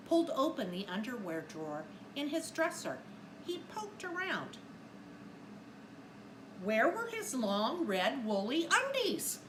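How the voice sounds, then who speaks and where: A woman teacher reading a storybook aloud expressively to young children, speaking deliberately and adding simple asides and questions. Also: fairly high pitch. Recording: echoey room with faint background noise.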